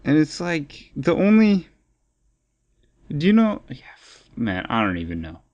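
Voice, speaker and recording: A man's voice: fairly high pitch, huge pitch swings, measured pace, a little mellow, muffled recording. A man co-hosting a podcast in casual, opinionated discussion, rambling and repeating phrases as he thinks aloud. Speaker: podcast co-host.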